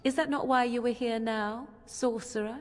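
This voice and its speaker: A woman's voice: fairly high pitch, steady tone, normal speed, big-room sound, close to an everyday voice. A woman voicing a scornful, sardonic young female witch in a video game. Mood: taunting. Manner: taunting, sardonic.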